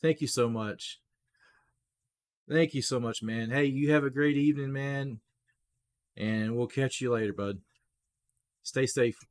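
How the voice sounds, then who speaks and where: A man hosting a casual live play-by-play stream, his voice rough, clearing his throat, talking straight to viewers and rising on big plays. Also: mid-range voice, measured pace, long pauses. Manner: chatty, talks straight to viewers, rises excitedly on big plays. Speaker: livestream play-by-play host.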